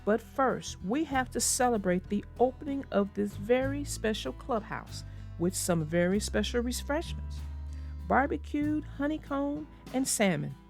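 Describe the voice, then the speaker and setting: A woman voicing a calm, sensible mother bear in a children's storybook, patient and gently instructive. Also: a little high, measured pace, creaky, soft background music. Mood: reassuring. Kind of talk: children's storybook read-aloud.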